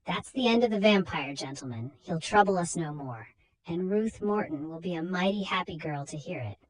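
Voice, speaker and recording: Woman as a husky, commanding vampire-hunting doctor. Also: fairly low pitch, animated, normal speed, poor audio. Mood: grave explaining. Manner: emphatic, declamatory. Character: commanding male doctor, vampire hunter, urgent and knowing.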